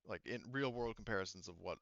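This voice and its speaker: Rambling game master, a man with smooth voice. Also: lowish voice, fairly bright tone, no echo, muffled recording. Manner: rambling, halting explanations full of asides. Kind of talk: casual tabletop game talk.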